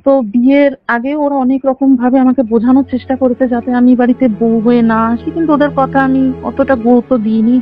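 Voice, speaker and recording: A woman of about twenty earnestly recounts a personal story in detail on a call. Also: fairly high pitch. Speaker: caller telling their own story. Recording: dull band-limited phone call, faint music. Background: faint background music.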